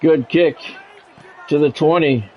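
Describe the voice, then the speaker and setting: A man's voice: a little high, slow pace, darkish tone, a faint crowd. A man doing live play-by-play commentary over a football video game, in terse announcer phrases with candid asides. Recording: dull, lo-fi mic with faint background noise.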